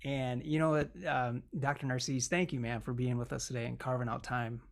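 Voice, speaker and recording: A man's voice: mid-range voice, little pitch movement, brisk, creaky. A man hosting an online interview, upbeat and encouraging, cheering his guest on as he asks questions. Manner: enthusiastic, encouraging. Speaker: interview host.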